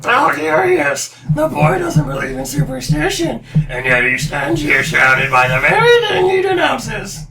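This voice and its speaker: A teasing, theatrical shrine spirit, acted out by a man, speaking in odd inverted phrases. The voice sits high and swings dramatically up and down. It is a little distant from the mic, with music underneath. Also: wildly swooping pitch, measured pace, gravelly, few pauses, background noise, full character voice. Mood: mysterious, playful. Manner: stilted inverted syntax, theatrical.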